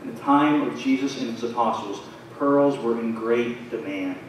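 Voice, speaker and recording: A man in his thirties preaching a sermon in a small church, his phrases rising and falling in a measured way. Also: medium pitch. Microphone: far camera, pulpit mic. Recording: very echoey church from far off, faint noise.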